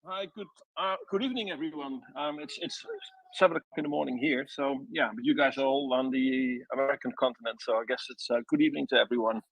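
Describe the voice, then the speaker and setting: Middle-aged guy talking slow and loose on a dull, dry remote line. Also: medium pitch, no echo. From Netherlands. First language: Dutch. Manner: unhurried and loosely structured, with hesitations. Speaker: guest, wildlife rescue founder. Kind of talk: online audio chat.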